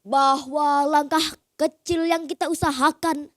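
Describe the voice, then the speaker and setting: A woman recites poetry in a high voice at a very slow pace. Her delivery is solemn and declamatory, with dramatic pauses and a dry, close sound. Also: fairly even intonation, no room sound.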